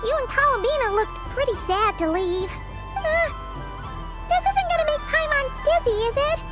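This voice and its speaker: A woman's voice: very high-pitched, expressive, normal speed, over music. A woman voicing a tiny, perky, childlike fairy companion in a video game, exclamatory and easily indignant. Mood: curious, delighted. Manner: refers to self in third person, exclamatory. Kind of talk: video game cutscene acting.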